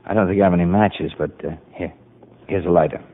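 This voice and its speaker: Low, husky, gentle husband. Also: male voice, fairly even intonation, fast talker. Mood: soothing. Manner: tender, soothing. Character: gentle, reassuring young husband of a patient. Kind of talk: 1950 radio drama acting. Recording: muffled narrow-band 1950 radio broadcast.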